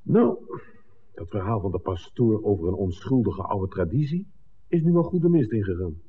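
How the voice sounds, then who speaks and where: An excitable, shrewd man in a vintage radio drama, reasoning aloud with emphatic questions. His voice is lowish and husky, swinging widely in pitch. The sound is narrow and tinny. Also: moderate pace. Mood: agitated, reasoning. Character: John, shrewd excitable filmmaker piecing together a smuggling plot. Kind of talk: 1960s Dutch radio drama acting. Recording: roomy reverb, phone-like old radio recording.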